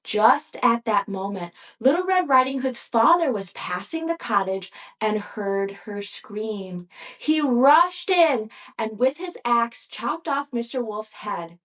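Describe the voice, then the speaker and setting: Slow, lively children's storyteller, woman. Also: fairly high pitch. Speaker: storyteller and ventriloquist. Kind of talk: children's read-aloud.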